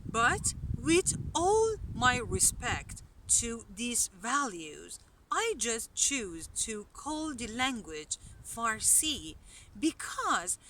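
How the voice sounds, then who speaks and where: Persian-accented woman teaching slowly outdoors. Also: fairly high pitch, very animated, bright, forceful tone, a little hiss or hum. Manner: unhurried, with hesitant fillers. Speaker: language teacher. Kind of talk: casual spoken lesson to camera. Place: outdoors on a mountain hillside. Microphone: camera from a short distance. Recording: outdoor camera audio, a bit distant.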